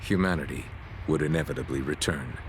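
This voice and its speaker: Cinematic video game narration as a grave, solemn storyteller. His voice is extremely deep and measured, pausing portentously, with faint background noise. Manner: portentous, measured, dramatic pauses. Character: grave, solemn male narrator of a sci-fi saga.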